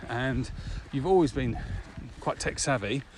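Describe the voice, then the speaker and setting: A man's voice: mid-range voice, a little slow, darkish tone. A man, chatting casually as a podcast co-host. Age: 50s. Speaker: podcast co-host, business owner. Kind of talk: casual conversational podcast chat. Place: outdoors at dusk. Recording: clearly noisy outdoor background.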